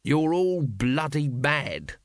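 A man's voice: medium pitch, lively intonation, moderate pace, dry recording, studio quality, lightly acted. Exasperated English guard captain, read by a man. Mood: exasperated, angry. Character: exasperated, gloomy, shouting male city guard captain.

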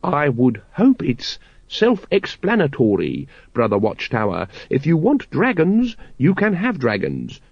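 A man's voice: a little high, huge pitch swings, unhurried, dry recording, voice pushed up, full character voice. Man playing a silky scheming cult leader. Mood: sinister. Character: scheming male cult leader, smooth and manipulative. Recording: dry, dull lo-fi recording.

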